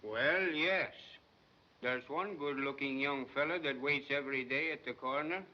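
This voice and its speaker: A man's voice: medium pitch, unhurried, lightly acted. Guy playing a cheeky teasing witness, medium voice, old movie dialogue, muffled sound. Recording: dull old film soundtrack.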